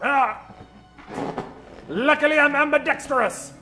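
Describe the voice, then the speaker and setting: A man playing an excitable, pompous scientist in an old-time radio comedy, theatrical and exclaiming. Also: very high voice, slow, soft background music, full character voice. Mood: excited, indignant. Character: excitable eccentric French male scientist, pompous and mad.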